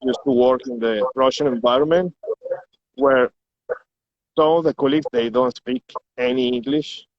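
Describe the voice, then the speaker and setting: A man's voice: mid-range voice, moderate pace, no room sound. Venezuelan man speaking English, muffled and dry. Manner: hesitant, repeats words while searching for English. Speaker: interview guest. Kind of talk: casual interview.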